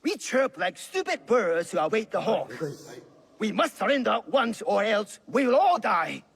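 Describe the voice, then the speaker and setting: A bitter shinobi dissenter argues in a high, raspy voice that swings widely. He sounds agitated, in dramatic game style, with long hall reverb around him. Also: big pitch swings, unhurried, a bit bright, heavily acted. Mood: bitter, pleading. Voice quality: raspy, creaky. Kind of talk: dramatic video game acting.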